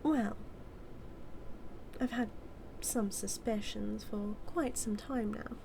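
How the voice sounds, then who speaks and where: Woman acting a slow, teasing British supervillainess. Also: mid-range voice, vocal fry, background noise. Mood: smug, teasing. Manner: theatrical, drawling, taunting, slipping into whispers. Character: smug, teasing, scheming female supervillain toying with her nemesis. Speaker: audio roleplay performer. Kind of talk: scripted villain monologue roleplay, spoken to the listener.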